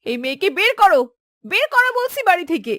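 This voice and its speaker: Lady doing a bickering village wife for a cartoon, high shrill scolding voice, goes up and down loads. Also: sing-song, fast talker, bright, forceful tone, no echo, studio quality, exaggerated voice. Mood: shocked, furious. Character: indignant, quarrelsome village farmer's wife. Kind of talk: cartoon fairy-tale voice acting.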